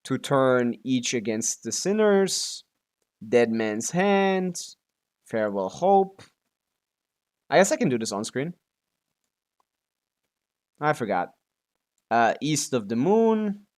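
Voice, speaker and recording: A man's voice: medium pitch, very animated, takes it slowly, pauses often, darkish tone. A man chatting casually on a gaming livestream as the streamer, often muttering to himself and swearing offhandedly. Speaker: live streamer.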